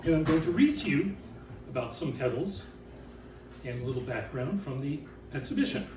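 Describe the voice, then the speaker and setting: A man reciting written text as author and curator, in a theatrical, deliberate way with drawn-out emphasis. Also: mid-range voice, expressive. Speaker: author and exhibition curator reading aloud. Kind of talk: dramatic recitation of catalog text to an audience. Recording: roomy, distant mic with background noise.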